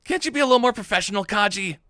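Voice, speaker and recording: A man plays a sharp-tempered young woman who runs a detective agency in a comic. The voice is lifted well above a man's normal talk, with an edge to it. It is lively and bossy. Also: very fast, no echo, studio quality. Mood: exasperated. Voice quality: raised, edgy high voice.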